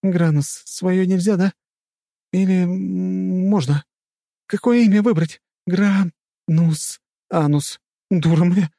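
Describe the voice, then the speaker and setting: Casting: hot-headed young woman played by a man, shrill high register, foul-mouthed, desperate, commanding, audiobook drama. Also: steady tone, slow pace, creaky, no room sound, studio quality, heavily acted. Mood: agitated. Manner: shouting, swearing, frantic. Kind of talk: dramatic audiobook character acting.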